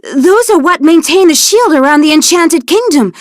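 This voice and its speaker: A woman's voice: very high-pitched, lively intonation, moderate pace, no room sound. A woman voicing a headstrong young elf princess warrior in a game, talking in a defiant, resolute way with dry quips. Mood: determined. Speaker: voice actor. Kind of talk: game character voice acting.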